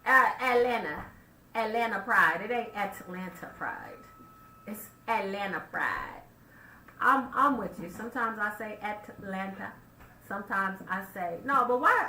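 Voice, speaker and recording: A woman hosting a radio talk show, talking straight to her listeners in an animated way and firing off rhetorical questions. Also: medium pitch, moderate pace. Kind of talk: casual radio monologue. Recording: roomy echo, faint background noise.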